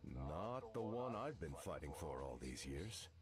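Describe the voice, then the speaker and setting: A noble veteran superhero from a video game. The voice is very deep and lively, earnest, humble and resolute. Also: male voice, measured pace, full character voice. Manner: earnest, resolute, plain-spoken. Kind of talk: video game hero dialogue.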